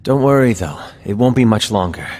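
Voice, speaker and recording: A man playing a calm, scheming villain in a video game, speaking with smug, insinuating mock politeness. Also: lowish voice. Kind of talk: video game cutscene acting. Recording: long hall reverb.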